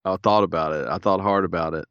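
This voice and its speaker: Very low-voiced stuffy man. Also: fairly even intonation, fast talker, a bit bright, clear, resonant tone, dry recording, muffled recording. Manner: laid-back, dry humor. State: stuffy, a little raspy. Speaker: podcast co-host. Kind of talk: casual chat.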